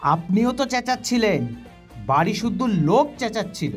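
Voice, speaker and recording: A woman's voice: mid-range voice, lively intonation, normal speed, soft background music, lightly acted. A woman playing an indignant, nosy neighbour in a crowd in a Bengali audio story, mocking and drawling. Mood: protesting.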